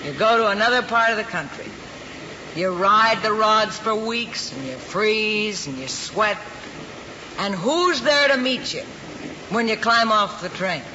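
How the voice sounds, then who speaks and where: An actor with an American accent playing a world-weary, blunt counter worker in a muffled, noisy old television drama. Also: male voice, high voice, expressive, bright, forceful tone, exaggerated voice. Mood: knowing, blunt. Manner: folksy, blunt, unhurried working-class talk. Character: world-weary, plain-spoken older lunch counter worker. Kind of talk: mid-century American television drama acting.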